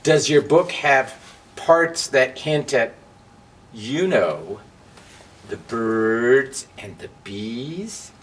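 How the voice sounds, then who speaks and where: A man as a prim, slow, scolding matchstick. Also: mid-range voice, animated, a bit bright. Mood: disapproving. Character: prim, scolding male match, a book-banning busybody. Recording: distant mic with faint background noise.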